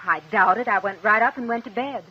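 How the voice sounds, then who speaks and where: A woman's voice: fairly high pitch, a little fast, husky. A woman playing a defensive, earnest office employee on the witness stand in a 1950s radio drama, clipped and emphatic. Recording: muffled old radio recording.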